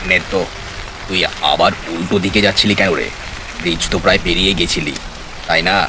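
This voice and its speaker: A man's voice: low voice, fairly even intonation, normal speed, background noise, natural voice. A man voicing a blunt young village man who challenges his friends in a horror cartoon. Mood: suspicious.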